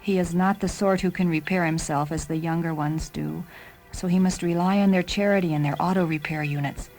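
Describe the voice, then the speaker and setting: A woman voicing a loyal, dutiful talking combat car in a science fiction story, calm and matter-of-fact, with music behind her. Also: on the low side, close to an everyday voice. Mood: calm, reporting. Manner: measured, matter-of-fact reporting.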